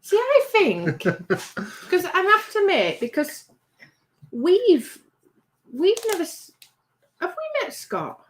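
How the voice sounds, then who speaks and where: A woman's voice: very high voice, huge pitch swings, takes it slowly, pauses often. A woman hosting a casual livestream, reading out chat messages and teasing, often trailing off mid-sentence.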